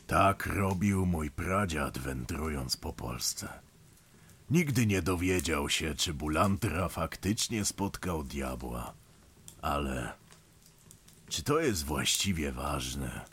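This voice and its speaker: An actor playing a homely male storyteller who addresses listeners in an unhurried, confiding way. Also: very deep voice, lively intonation, fairly bright tone, slight background noise, full character voice. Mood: musing. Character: homely old-style male storyteller by the stove. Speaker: storyteller narrator. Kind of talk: scripted storytelling narration.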